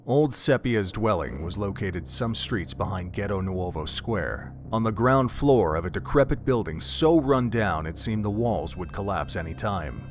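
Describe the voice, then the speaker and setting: A man narrating an audio drama in a measured, literary storytelling style. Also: lowish voice, expressive, a little hiss or hum. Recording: background music under the voice.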